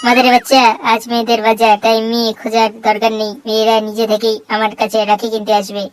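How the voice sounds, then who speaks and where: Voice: medium pitch, monotone, fast, natural voice. A performer voicing a brash, cheeky young cartoon schemer who chases girls, with comic exaggerated delivery. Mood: cocky. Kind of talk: comic cartoon dialogue in Bengali.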